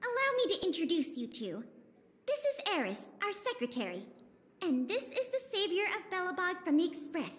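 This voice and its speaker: A woman's voice: extremely high pitch, very animated, moderate pace, a bit bright, long reverb tail, exaggerated voice. An actress playing a polite young female officer in a video game, speaking formally and articulately. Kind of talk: scripted video game dialogue.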